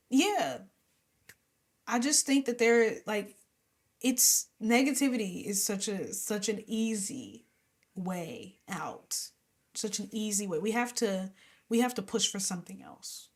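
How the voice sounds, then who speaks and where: A young adult woman singer, a guest in an interview, talking casually and with animation, laughing often and sometimes dropping to a whisper. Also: mid-range voice.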